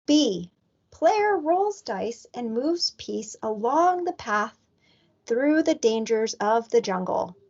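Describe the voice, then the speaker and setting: An earnest, bossy little storybook girl played by a woman reading aloud, pronouncing things slowly and emphatically. The voice sits fairly high. The sound is dull and lo-fi. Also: very animated, creaky, darkish tone, breathy edge, exaggerated voice. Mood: bossy, instructive. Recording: dull lo-fi recording.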